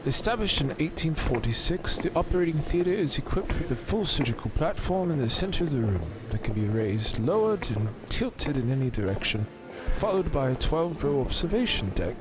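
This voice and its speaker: A man's voice: on the high side, very animated, normal speed, hardly any pauses, over music. A man playing a chipper university tour guide in an audio drama, reciting facts in a sing-song patter. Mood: chipper, presentational.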